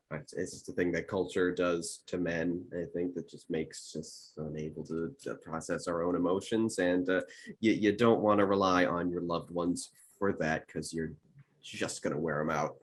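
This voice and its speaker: A man chatting casually and reflectively on a panel, with a hesitant, halting way of talking. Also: low voice, fairly even intonation. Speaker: show panelist. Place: remote video call.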